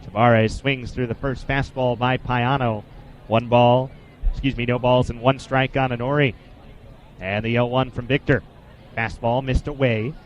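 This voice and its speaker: A man in his twenties doing live baseball play-by-play for radio, in a measured, descriptive way with statistics between pitches. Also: fairly even intonation, muffled recording, a little hiss or hum. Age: 20s. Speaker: play-by-play sportscaster.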